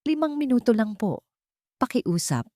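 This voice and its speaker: A woman's voice: on the high side, very animated, moderate pace, warm tone, no room sound, clean studio sound, voice pushed up. A woman voicing a loving young nanny, heartbroken and pleading, with a trembling voice in an audio drama story.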